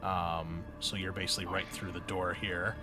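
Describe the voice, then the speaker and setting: A man's voice: low-pitched, flat delivery, moderate pace. Casual male game master over background music. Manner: casual, informal. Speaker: game master of a tabletop roleplaying game. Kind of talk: improvised narration and rules explanation for players.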